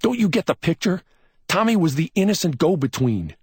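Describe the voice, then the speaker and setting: A man voicing a brusque, excitable male police officer who snaps out urgent reasoning. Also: medium pitch, normal speed, slightly rough, no room sound, studio quality, voice pushed up. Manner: snapping, urgent, scolding.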